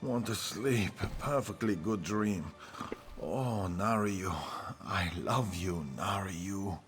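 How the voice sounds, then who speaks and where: A man's voice: deep voice, slow, fairly bright tone. An actor playing a drowsy, drunken, lovesick guardsman in a fantasy video game, mumbling dreamily.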